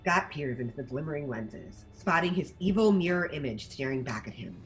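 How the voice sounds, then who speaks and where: Low-voiced, animated woman narrator. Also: brisk, soft background music. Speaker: narrator reading stage directions. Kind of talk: scripted narration at a table read.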